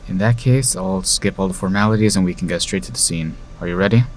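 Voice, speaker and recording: A man acting in an audio drama as a polite, smooth casting director running an audition. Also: sits low, fast, slight background noise, close to an everyday voice. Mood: businesslike, polite.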